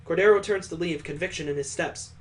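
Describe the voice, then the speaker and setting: A man's voice: a little high, fast. A man playing a visual novel, reading its narration aloud as a let's player.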